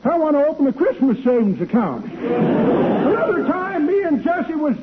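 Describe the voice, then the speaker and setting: Chipper rambling old-timer, folksy tall-tale teller, swooping delivery, tinny vintage radio comedy sound. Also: male voice, very high voice, sing-song, normal speed, heavy background noise. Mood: cheerful, boastful. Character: cheerful old codger spinning tall tales. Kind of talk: 1940s-50s radio comedy acting.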